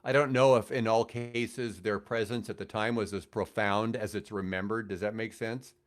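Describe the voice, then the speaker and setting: An older man with an American accent, telling rambling, animated anecdotes casually as a radio veteran on a podcast. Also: fairly low pitch, normal speed. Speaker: radio host and guest.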